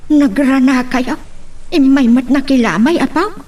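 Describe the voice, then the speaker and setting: An anxious elder woman, played by an actress in a radio drama speaks in a high voice. She sounds bitter and questioning, and sits a little far from the mic. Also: measured pace, mellow. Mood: alarmed, questioning. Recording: distant from the microphone.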